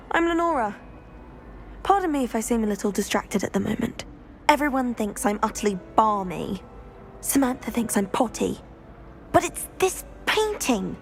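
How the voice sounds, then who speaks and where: An actress playing an eccentric, curious young female student with a British accent in a video game. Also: fairly high pitch. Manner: distracted, breathless curiosity, quirky.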